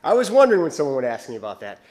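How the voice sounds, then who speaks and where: A man's voice: a little high, huge pitch swings, rapid-fire, a little mellow. A male bodybuilder vlogging to his viewers in casual chat, rambling and hesitant, with lots of fillers and restarts.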